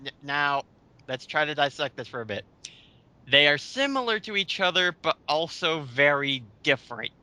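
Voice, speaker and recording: A man's voice: on the high side, very animated, normal speed, bright, forceful tone, clear, resonant tone. A man giving casual, mocking commentary on online comments in a sarcastic, blunt and sweary way.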